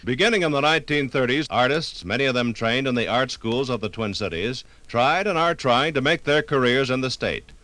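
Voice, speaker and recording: A man's voice: mid-range voice, a little fast, hardly any pauses, pushed and bright, dry recording. Dry, formal male 1950s radio narrator.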